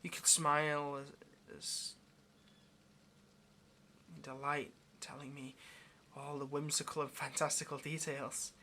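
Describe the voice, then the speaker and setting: Man voicing a devoted demon, bright, higher-pitched voice. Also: little pitch movement, slow pace, slight background noise, full character voice. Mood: soft, tender. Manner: hesitant, tender, obsessively devoted, addressing listener directly. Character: devoted yandere male demon, lonely, clingy, tender, menacing. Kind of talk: intimate ASMR audio roleplay.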